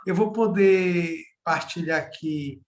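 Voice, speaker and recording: A man's voice: high voice, slow pace. A middle-aged man from Salvador, a pastor giving an informal Bible study over a video call, chatty and often ending with a tag question. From Salvador, Bahia, Brazil.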